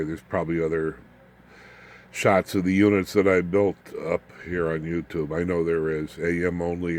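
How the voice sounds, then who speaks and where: A man's voice: deep voice, darkish tone. An American man in his seventies giving a casual, unscripted workshop demonstration, rambling in a folksy way with self-deprecating asides to the viewer. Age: 70s. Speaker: ham radio hobbyist and video host. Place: home workshop.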